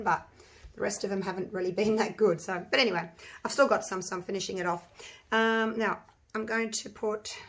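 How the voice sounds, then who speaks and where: An Australian woman talks in a croaky, husky voice, chatty and rambling as she explains each step of her craft project. Her pitch sits in an ordinary middle range for a woman. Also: a bit bright. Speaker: resin craft channel host. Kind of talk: casual craft tutorial talk.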